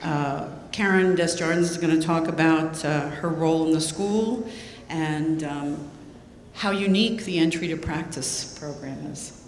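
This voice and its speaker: A woman's voice: sits low, unhurried. A woman moderating a panel, joking and chatty in an informal way. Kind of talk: hosting a panel. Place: lecture hall. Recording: echoey hall, faint room noise.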